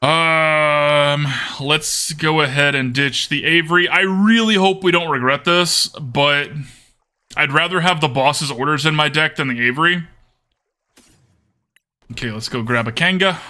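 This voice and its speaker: A man's voice: on the high side, unhurried, pushed and bright. A man streaming a card game, chatting casually and playfully with viewers as he comments on his moves. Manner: putting on an accent for fun.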